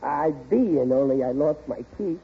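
A man's voice: a little high, animated, normal speed, a little hiss or hum, lightly acted. An actor playing a suspicious, blustering middle-aged American working-class father in a 1940s radio sitcom, grumbling in ungrammatical speech. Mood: puzzled, gruff. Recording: tinny old radio broadcast recording.

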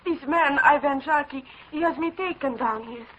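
A woman's voice: high-pitched, normal speed, fairly bright tone. An actress playing a frightened young woman with a stage Spanish accent and broken English in a 1940s radio drama. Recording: dull lo-fi old radio broadcast.

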